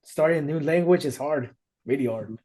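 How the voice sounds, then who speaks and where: Upbeat, slightly high-voiced podcast host man. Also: a little fast, mellow, husky. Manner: casual and upbeat, with frequent laughs and repetitions. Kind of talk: podcast interview. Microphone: remote video call.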